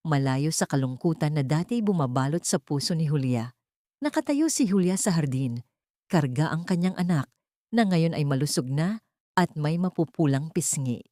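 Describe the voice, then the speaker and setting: A woman narrating a dramatic audiobook story in a steady storytelling manner. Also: low-pitched, normal speed, no echo, clean studio sound.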